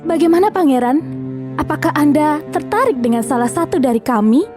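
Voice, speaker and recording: A vain, haughty young elder sister played by a woman in a children's folktale cartoon. The voice is extremely high and theatrical, with clear music behind it. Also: expressive, brisk. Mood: coquettish. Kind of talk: children's animated folktale dialogue.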